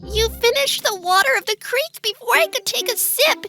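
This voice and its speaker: Very high, squeaky little forest animal. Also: expressive, normal speed, vocal fry, pushed and bright, faint music behind, heavily acted. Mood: worried, pleading. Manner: earnest, pleading. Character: small anxious polite young forest animal. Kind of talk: children's storybook character acting.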